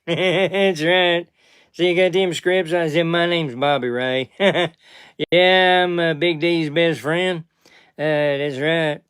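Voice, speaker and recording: Man acting as slow-witted good ol' boy, rural Southern US drawl, chummy, bumbling, slightly high voice, comedy podcast character. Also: fairly even intonation, fairly bright tone, clean tone, dry recording, clean studio sound.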